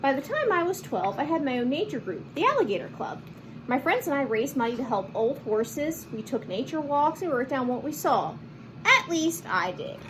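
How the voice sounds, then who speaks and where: A woman reading a picture book aloud to children in an expressive, animated manner, with chatty asides and simple explanations. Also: high voice, normal speed, noisy background. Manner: animated, acts out lines and explains words to children. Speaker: children's read-aloud host.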